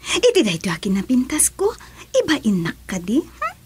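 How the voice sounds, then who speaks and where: An actress with a Filipino accent playing a coy, wheedling wife in a radio drama. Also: female voice, sits high, wildly swooping pitch, normal speed, slight background noise.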